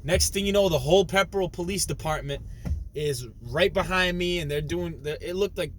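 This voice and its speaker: Young guy vlogging, kinda high voice, slangy and animated, faint car hum behind. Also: moderate pace. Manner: slangy, animated, talks straight to camera. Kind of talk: casual storytelling vlog.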